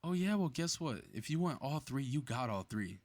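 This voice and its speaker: A man's voice: mid-range voice, very animated, moderate pace, lightly acted. A man playing a cocky, playful superhero in a flirty ASMR roleplay audio drama, with casual teasing banter.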